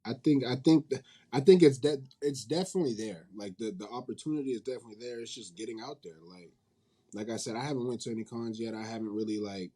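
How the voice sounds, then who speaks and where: A man's voice: mid-range voice, fast, mellow. A man being interviewed in a casual, informal and rambling way, often correcting himself as he goes. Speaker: interview guest, manga company head and creator.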